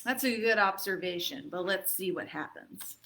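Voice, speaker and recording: A woman hosting a library storytime for children, expressive, putting questions to her young listeners as she narrates. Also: lowish voice, normal speed, a little hiss or hum.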